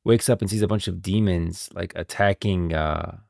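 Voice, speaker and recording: A man's voice: sits low, normal speed, gravelly, darkish tone, no echo, studio quality. A man hosting a stream of game lore commentary, moving between casual talk and scripted reading. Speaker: streamer, host.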